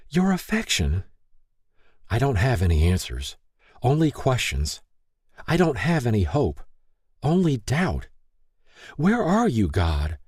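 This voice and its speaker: A distraught young woman pours out anguished, pleading questions in prayer, as a male narrator raises his voice to play her. Her lifted voice keeps a husky, dark edge and rises and falls widely. The recording is close and dry, like a storybook reading. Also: mid-range voice, no room sound, pitched above the performer's own voice. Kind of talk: audiobook character reading.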